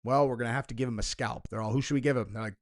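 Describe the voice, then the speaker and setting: A man's voice: mid-range voice, fairly even intonation, racing. A young man hosting a casual reaction video, rambling and sarcastic, with lots of "like" and "you know". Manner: rambling, sarcastic, heavy filler words. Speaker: commentary video host.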